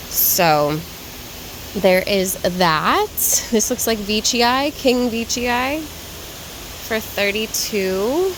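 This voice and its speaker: A woman vlogging while she browses a garden center. She chats casually and rambles, naming plants as she goes, and sounds tired and a little raspy from lack of sleep. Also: mid-range voice, background noise. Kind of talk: casual walk-and-talk vlog. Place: garden center shop floor.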